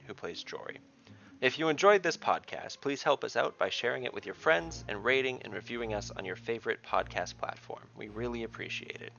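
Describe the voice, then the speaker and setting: A man hosting a podcast, reading scripted fantasy narration in a measured, dramatic storytelling manner. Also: medium pitch, gravelly, a bit bright, lo-fi sound.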